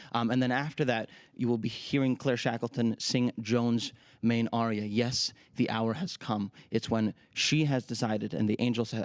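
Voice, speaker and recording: A man's voice: mid-range voice, darkish tone, dry recording. Effusive man from Ukraine, Russian native. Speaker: opera company artistic director. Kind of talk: TV interview chat.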